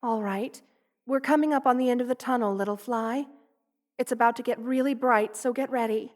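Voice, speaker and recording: A woman playing a loving, protective spider queen in an ASMR roleplay, speaking tenderly and with gentle regal poise. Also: a little high, brisk, dry recording, close to an everyday voice. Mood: excited, commanding. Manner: tender, nurturing, gently regal, addresses listener with endearments. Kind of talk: ASMR roleplay, intimate character acting.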